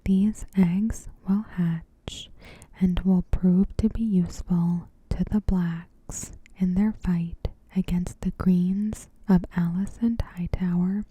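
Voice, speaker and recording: A woman softly whispering a scripted recap in a gentle, hushed, breathy ASMR narration. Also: lowish voice, low-quality recording. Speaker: ASMR host.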